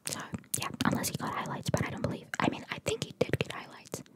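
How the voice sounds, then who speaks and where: A woman hosting ASMR, reading trivia in a breathy whisper with chatty, informal, opinionated asides. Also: brisk. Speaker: ASMR host.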